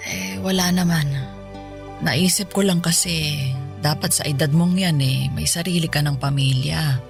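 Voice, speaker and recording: An ailing elderly mother speaks with motherly affection and gentle teasing. She is colloquial and a little nagging, in radio drama style, over background music. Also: deep voice, steady tone, moderate pace, creaky. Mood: reflective, tender. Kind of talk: Tagalog radio drama acting.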